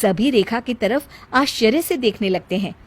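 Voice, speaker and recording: A woman's voice: a little high, expressive, normal speed, breathy edge. A woman narrating a scripted family story as its storyteller.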